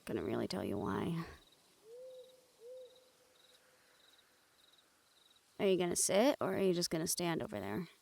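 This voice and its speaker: A woman acting in an intimate audio roleplay as a remorseful, weary young woman with dangerous powers, speaking softly and hesitantly to the listener. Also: low voice, very animated, quick pace, creaky, slight background noise, heavily acted. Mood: wistful, gentle.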